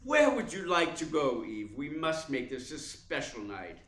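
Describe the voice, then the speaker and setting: An actor playing an urbane, cunning theater columnist in a radio play, speaking in a suave, deliberate, formal way. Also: male voice, on the high side, huge pitch swings, fairly bright tone, heavily acted. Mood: suave, probing. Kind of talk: radio play narration and dialogue. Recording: roomy echo, faint background noise.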